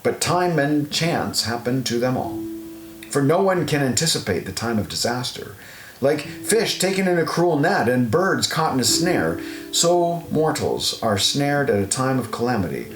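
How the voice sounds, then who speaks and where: A man narrating an audio Bible, reading scripted scripture in a measured, solemn recitation. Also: mid-range voice, sing-song. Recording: roomy echo, guitar music underneath.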